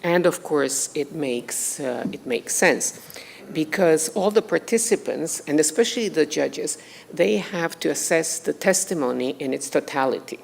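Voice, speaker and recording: A woman giving a formal lecture, measured and hesitant, with frequent pauses and restarts. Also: low-pitched, expressive, gravelly, slight background noise. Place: lecture hall. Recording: long hall reverb.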